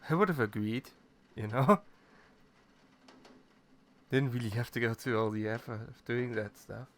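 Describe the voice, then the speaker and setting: A man's voice: medium pitch, a little slow, close to an everyday voice. A man playing a shy, clueless young catboy pet in a roleplay audio drama, hesitant and stammering as he talks to the listener. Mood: puzzled, accepting. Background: ambient noise, rain.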